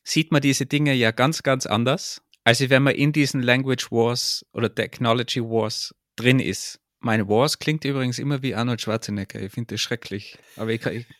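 An Austrian-accented man co-hosts a podcast in a casual, conversational style. His voice sits at a middle pitch, and the sound is dry with very little room. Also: fairly even intonation, normal speed, hardly any pauses, fairly bright tone, no room sound. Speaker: podcast co-host.

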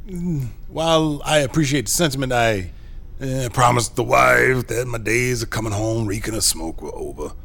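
A man's voice: mid-range voice, lively intonation, normal speed, creaky, a bit bright. A man playing an uneasy, gullible office boss in an audio drama, talking informally and hesitantly.

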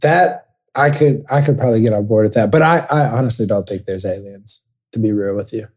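A man's voice: fairly low pitch, a little fast, darkish tone, husky. A young man from Texas, a podcast guest chatting casually and telling rambling stories with acted-out quotes. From Dallas, Texas. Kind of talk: casual chat.

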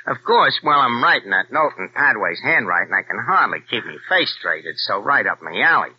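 A man's voice: medium pitch, very animated, fairly quick, breathy edge. An actor playing a wisecracking American crook with slangy tough-guy talk and hesitant fillers, in an old radio drama. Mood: confiding. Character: wisecracking American double-agent crook, streetwise. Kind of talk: 1940s radio acting. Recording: dull old radio recording.

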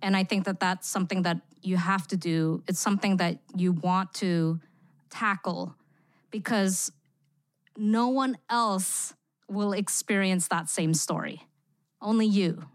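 Woman from Singapore, podcast guest writer, thoughtful and filler-heavy, lowish voice, dry close recording. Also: a little slow, dry recording. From born in Indonesia, raised in Singapore. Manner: reflective, frequent "you know" fillers. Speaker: guest, immersive experience writer. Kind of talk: podcast interview.

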